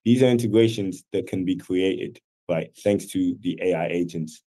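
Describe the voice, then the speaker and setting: A man teaches an online class in a low, mellow voice. He explains things in a casual, conversational way and keeps checking in with his listeners. He is speaking over a video call. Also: normal speed. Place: video call with screen sharing.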